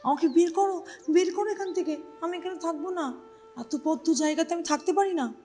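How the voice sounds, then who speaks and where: A woman's voice: extremely high pitch, fairly quick, mellow, pitched above the performer's own voice. A woman voicing a proud, fussy young female piece of clothing in a story, dramatic and easily indignant. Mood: distressed pleading. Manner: dramatic, indignant, peppers talk with English words.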